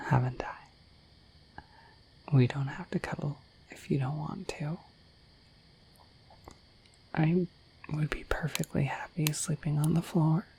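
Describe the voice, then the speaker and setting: A woman voicing a shy, affectionate, dorky dragon in a bashful, hesitant breathy whisper for an ASMR roleplay. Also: low voice, dark tone, a little hiss or hum, low-quality recording. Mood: hesitant, considerate. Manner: bashful, hesitant, apologetic, rambling.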